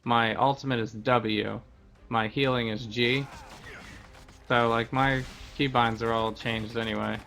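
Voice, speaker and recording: A man's voice: medium pitch, fairly even intonation, measured pace, creaky, pushed and bright. A man giving casual gameplay commentary as a streamer, explaining things in a conversational way. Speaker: game streamer.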